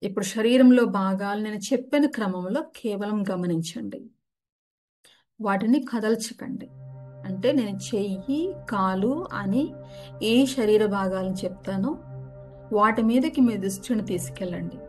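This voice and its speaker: A woman gently guides a relaxation meditation, giving unhurried step-by-step instructions. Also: mid-range voice. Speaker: yoga nidra guide. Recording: music behind the voice. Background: background music.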